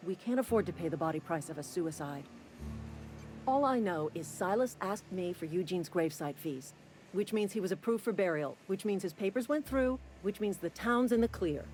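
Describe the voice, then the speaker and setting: An actress playing a blunt, pragmatic small-town company worker in a video game, plain-spoken and folksy. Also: female voice, on the low side, lively intonation, moderate pace, a little mellow, faint music behind, natural voice. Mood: blunt, relieved. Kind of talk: video game character dialogue.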